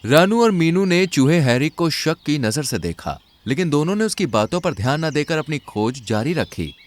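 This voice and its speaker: उतार-चढ़ाव से कहानी सुनाता आदमी. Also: male voice, on the high side, big pitch swings, normal speed. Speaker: narrator. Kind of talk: scripted children's story narration.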